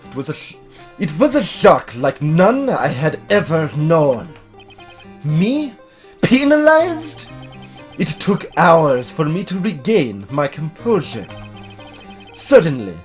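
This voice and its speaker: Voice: mid-range voice, a little mellow. A performer voicing a vengeful, unhinged old male prosecutor in a video game, seething and theatrical. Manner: theatrical, seething, dramatic drawn-out delivery.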